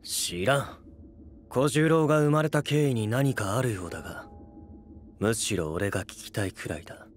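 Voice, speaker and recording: An actor playing a cool, curt young warlord in an anime audio drama, dry and matter-of-fact. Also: male voice, medium pitch, normal speed, slight background noise, natural voice. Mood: dry, aloof. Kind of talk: Japanese anime voice drama acting.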